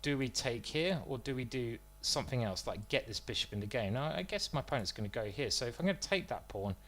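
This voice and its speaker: A man's voice: medium pitch, expressive, quick pace, fairly bright tone. Self-mocking man commentating live chess. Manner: self-deprecating, joking, thinking aloud about moves. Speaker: chess streamer commentating his own games.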